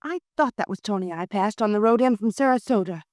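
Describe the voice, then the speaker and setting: A woman's voice: medium pitch, animated, quick pace, darkish tone, no room sound, studio quality, lightly acted. An actress playing a petite blonde woman who speaks in a sweetly solicitous, coaxing way, in a 1950s radio drama. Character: petite blonde woman, cool and smooth-talking.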